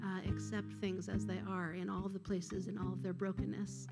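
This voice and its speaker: A woman's voice: mid-range voice, little pitch movement, normal speed, vocal fry, over loud music. A woman leading a congregation in a service, speaking earnestly with frequent ums and uhs. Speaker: prayer and song leader.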